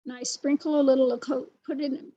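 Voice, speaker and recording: A soft-spoken woman explaining a recipe in a casual online class, hesitant with fillers. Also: high voice, flat delivery, a little fast, no room sound, dull audio. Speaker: co-host. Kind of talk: casual chat.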